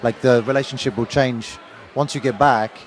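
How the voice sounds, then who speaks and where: A man's voice: mid-range voice, fairly even intonation, moderate pace. A man interviewing attendees at a teaching conference, asking polite, encouraging questions. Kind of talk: conference vox-pop interview. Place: busy conference venue.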